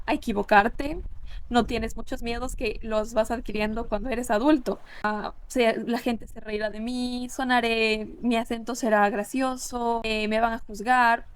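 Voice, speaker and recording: A young woman from Ecuador talks as an interview guest, thoughtful and earnest, often pausing to restart a phrase. Her voice sits somewhat high. She sounds like a linguist sharing her own experience. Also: clean tone. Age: 20s. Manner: reflective, earnest, many restarts and fillers. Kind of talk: casual interview conversation.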